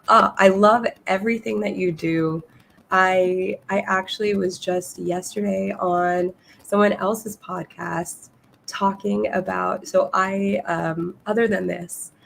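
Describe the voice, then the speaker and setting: A woman hosting a podcast interview, a little hoarse and losing her voice, chatting eagerly with her guest. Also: lowish voice, a little hiss or hum. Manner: enthusiastic, reads guest bio aloud. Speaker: podcast host. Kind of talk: casual interview.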